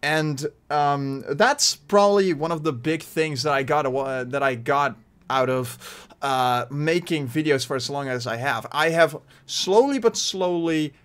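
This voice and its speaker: A man's voice: fairly high pitch, a little slow, clean tone. A young Dutch man in his twenties with a Dutch accent, talking casually to his webcam from his bedroom, rambling with lots of fillers and restarts. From Netherlands. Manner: animated hand gestures while talking. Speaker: YouTuber. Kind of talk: casual vlog talk to webcam. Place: small bedroom, indoors. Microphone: desk mic in front of webcam.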